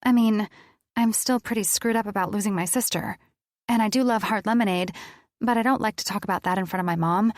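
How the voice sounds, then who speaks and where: A woman voicing a sarcastic young heroine who talks quickly with wry defiance. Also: mid-range voice, no echo, clean studio sound, voice pushed up, natural voice. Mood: defiant.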